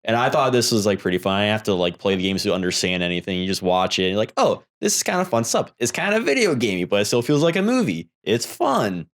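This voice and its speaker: Fast-talking low-voiced man. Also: big pitch swings. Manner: informal, rambling, slangy. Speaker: podcast panelist. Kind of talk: casual film discussion chat.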